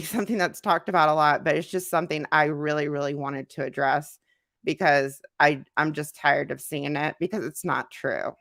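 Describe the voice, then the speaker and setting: A woman with a low, gravelly voice chats casually on a live stream, stressing her points by repeating words. She is congested from an oncoming cold. The sound is close and dry, from a desk mic at home. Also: fairly bright tone, no echo.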